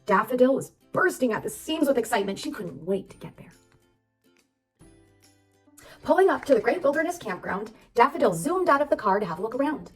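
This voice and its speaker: Woman, higher voice, expressive storybook narration for children, music behind. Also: quick pace, hardly any pauses, recorded from a distance. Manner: expressive, addresses young listeners. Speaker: children's author reading aloud. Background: background music.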